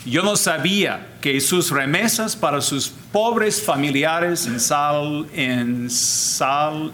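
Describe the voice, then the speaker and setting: An older man, a Jesuit priest whose first language is English, giving a deliberate, formal talk with frequent restarts. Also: fairly high pitch, fairly bright tone, a little hiss or hum. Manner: deliberate, repeats and restarts words, switches to English phrases. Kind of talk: slow formal lecture.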